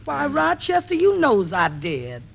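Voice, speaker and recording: A woman's voice: high voice, wildly swooping pitch, a little slow, gravelly, exaggerated voice. Sassy girlfriend, muffled old radio. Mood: sweet. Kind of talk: 1940s radio comedy acting. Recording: dull old radio broadcast.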